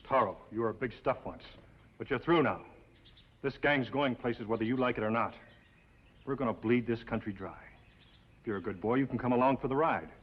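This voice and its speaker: An actor playing a ruthless, ambitious outlaw in a 1950s television western, with an American accent and blunt, taunting talk. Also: male voice, medium pitch, a little fast, pauses often, slightly rough, lightly acted. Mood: defiant, threatening. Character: ruthless male outlaw gunman, defiant, ambitious, bullying. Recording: muffled old TV soundtrack.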